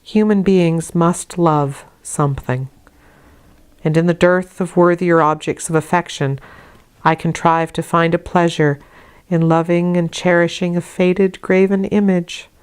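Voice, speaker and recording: A woman reading a classic novel aloud as an audiobook, in a measured, deliberate storytelling manner. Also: on the low side, fairly even intonation, clear, resonant tone. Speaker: audiobook reader. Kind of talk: scripted narration of a classic novel, read aloud.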